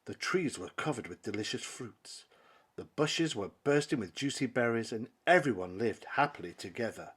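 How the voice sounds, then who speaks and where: A man reading a children's picture book aloud as a dramatic narration, with theatrical delivery and emphatic pauses. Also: mid-range voice, animated, fairly bright tone, husky. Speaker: storybook reader.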